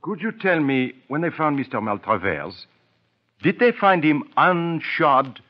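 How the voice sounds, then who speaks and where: A man's voice: fairly high pitch, very animated, a little slow. A vain foreign detective in a vintage radio drama. His French accent carries ornate, broken English that rises and dips theatrically. The recording is dull and narrow. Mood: courteous, probing. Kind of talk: old radio drama acting. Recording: dull, band-limited old radio recording.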